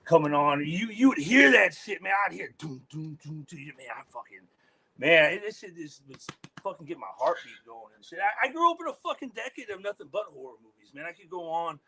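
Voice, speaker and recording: A man's voice: big pitch swings, fairly quick, bright, forceful tone, husky. A man being interviewed as a guest musician, talking in a laid-back way, swearing a lot and trailing off into fillers. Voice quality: deep voice. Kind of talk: casual interview chat.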